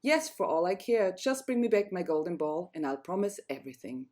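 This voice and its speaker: A woman's voice: fairly low pitch, big pitch swings, a little fast, a little mellow. A woman voicing a spoiled young fairy-tale princess, dismissive and easily disgusted.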